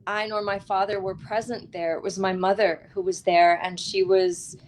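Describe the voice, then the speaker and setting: A woman's voice: medium pitch, level tone, moderate pace, a little hiss or hum. Woman author speaking reflectively in an interview. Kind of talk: earnest reflective interview talk.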